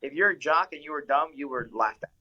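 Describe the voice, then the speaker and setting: A man's voice: on the high side, expressive, fast, dull audio. A young man from Ecuador, a professional fighter, answering interview questions in an earnest, reflective way with frequent fillers. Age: young adult. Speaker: guest, professional mixed martial arts fighter.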